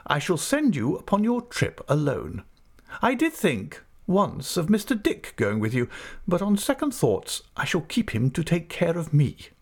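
A stern, decisive elderly aunt, as a male narrator puts her on in a storybook reading. She speaks high and emphatically, with a firm, commanding air beneath her fondness. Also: huge pitch swings, measured pace, full character voice. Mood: brisk, emphatic. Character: brisk, stern but fond elderly aunt, a woman. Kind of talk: audiobook character voice.

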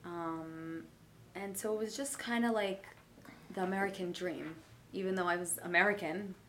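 A woman, a realtor talking in a relaxed, chatty, easygoing way. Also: fairly low pitch, moderate pace, a little hiss or hum. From Brooklyn, New York. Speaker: realtor guest. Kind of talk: casual interview chat.

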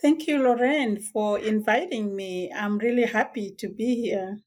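A woman from Sudan, telling her life story as a guest in a podcast interview, measured and reflective with small hesitations. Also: medium pitch, a little mellow. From South Sudan. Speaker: guest author.

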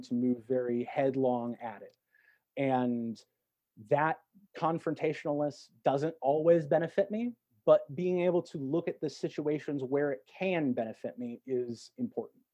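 A man's voice: medium pitch, steady tone, measured pace, mellow, dry recording, dull audio. A man talking in a reflective, conversational way as a podcast guest, encouraging and reassuring as he gives advice. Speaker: podcast guest, actor and tarot reader.

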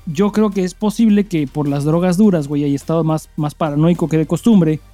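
Mexican guy podcast host, kinda high mellow voice, crude slangy joking, dry sound w some music behind. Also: fairly even intonation, fairly quick, no room sound. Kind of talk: casual chat and listener mail reading.

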